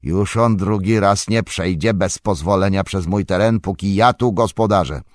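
A man voicing a stout, older male hobbit farmer who talks loudly and heartily. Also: moderate pace, no echo, studio quality, lightly acted. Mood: hearty. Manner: loud, booming and hospitable.

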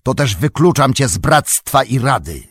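Dude voicing a stern old wizard laying down the law, dry and husky. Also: male voice, sits low, expressive, takes it slowly, no echo, full character voice. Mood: commanding. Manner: stern, authoritative. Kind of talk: audiobook character reading.

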